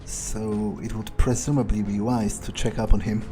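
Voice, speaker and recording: A man's voice: lowish voice, little pitch movement, moderate pace, mellow, soft background music. A man role-playing his character through a video game, musing and thinking aloud in a hesitant way. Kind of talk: in-character let's play commentary.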